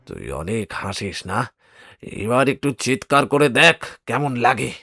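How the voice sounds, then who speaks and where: A man playing a vengeful, protective elder brother in an audio thriller, speaking slowly and deliberately. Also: mid-range voice, sing-song, pushed and bright, dry recording, studio quality, exaggerated voice. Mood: cold menace. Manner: slow, deliberate, threatening delivery. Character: menacing vengeful elder brother, caring only to his sibling. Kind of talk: audio thriller story acting.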